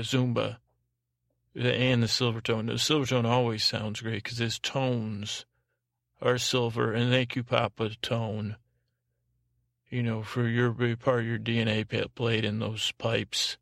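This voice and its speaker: A male podcast host with a creaky voice rambles in a halting, meandering way. His pitch stays fairly even as he wanders into tangents and repeats himself. The sound is dry, with little room around it. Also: no room sound.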